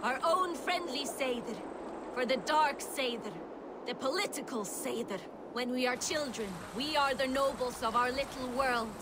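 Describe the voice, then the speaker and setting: A whimsical Viking warrior woman speaks in a high voice, playful and theatrical. She is a bold, unapologetic storyteller in a video game, with loud water and boat noise around her. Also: measured pace. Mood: playful, wondering. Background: stream and boat ambience.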